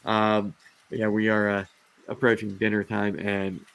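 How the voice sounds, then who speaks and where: A man's voice: fairly low pitch, monotone, normal speed, gravelly, fairly bright tone, clear, resonant tone. A man hosting a casual livestream chat about reptiles, rambling with lots of fillers and restarts as he loses his voice. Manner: rambling, rant-prone, many fillers and restarts. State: losing his voice. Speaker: host of a reptile live show.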